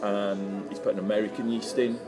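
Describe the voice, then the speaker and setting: A man being interviewed, answering informally and haltingly. His voice is on the low side, and music plays clearly behind him. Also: little pitch movement. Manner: hesitant, halting. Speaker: interview guest, brewery founder.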